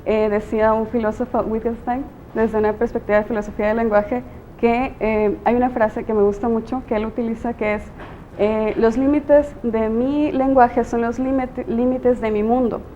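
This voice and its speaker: A Mexican woman teacher explains her ideas slowly and thoughtfully, with many pauses. Her voice is warm and mellow and sits a little high. The sound is slightly dull, with a faint low rumble behind it. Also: fairly even intonation. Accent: Mexican Spanish. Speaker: teacher and guest. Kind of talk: interview answers.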